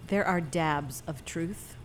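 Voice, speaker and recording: A woman's voice: sits low, slow pace, slight background noise. A woman playing an unflappable middle-aged mother in an audio drama, talking in a matter-of-fact, dryly defensive way. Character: unflappable, evasive mother of a grown daughter. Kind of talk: naturalistic audio drama acting.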